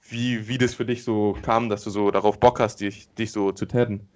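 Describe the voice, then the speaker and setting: Young male host, mid-pitched, loose slangy talk, muffled sound. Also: little pitch movement, normal speed, darkish tone. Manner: street slang, easygoing. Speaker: podcast host. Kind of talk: casual chat.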